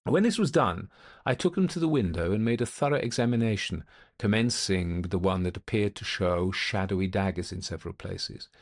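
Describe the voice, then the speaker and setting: A man narrating a ghost story as a methodical gentleman investigator, speaking in a formal, deliberate, old-fashioned way. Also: deep voice, expressive. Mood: measured, intent. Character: male gentleman investigator recounting an eerie experience, methodical, intent.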